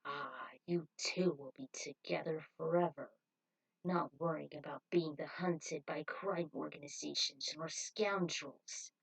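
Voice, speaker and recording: A woman voicing a calm, silky male cult member with a persuasive, condescending manner, in an amateur story reading. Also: low voice, unhurried, gravelly, husky, pitched below the performer's own voice, heavily acted, poor audio. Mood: smooth coaxing.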